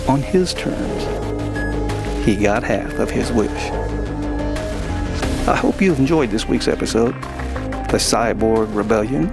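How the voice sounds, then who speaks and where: Male host over loud music. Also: lowish voice, animated, slow, long pauses. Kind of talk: scripted show outro.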